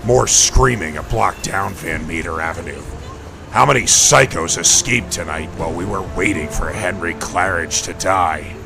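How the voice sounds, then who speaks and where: An actor playing a grim, brooding vigilante detective, delivering a terse, grave inner monologue in a comic-book audio drama. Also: male voice, low voice, moderate pace, gravelly, music in the background. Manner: terse, grave, hard-boiled.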